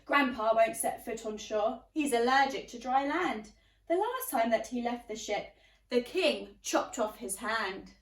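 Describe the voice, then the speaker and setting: A woman's voice: fairly high pitch, lively intonation, unhurried. A woman teacher reading a children's storybook aloud, expressively addressing young listeners. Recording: roomy, slightly distant microphone.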